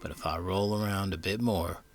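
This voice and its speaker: A man's voice: low-pitched, fairly even intonation, moderate pace. A man voicing a small lump of coal in a storybook, an earnest and hopeful dreamer given to wistful exclamations. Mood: disappointed hope.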